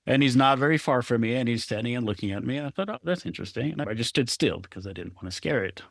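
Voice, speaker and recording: A man's voice: medium pitch, lively intonation, quick pace, gravelly, dry recording, clean studio sound. A middle-aged man from Israel, a photographer, telling stories thoughtfully in an interview and laughing often. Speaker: guest photographer. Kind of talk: reflective conversational interview.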